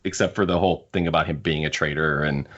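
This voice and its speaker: A man with a very low voice hosts a casual book discussion through slightly muffled, lo-fi audio. He talks in a halting way, often repeating and rewording his phrases. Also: fairly even intonation, fairly bright tone. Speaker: podcast host.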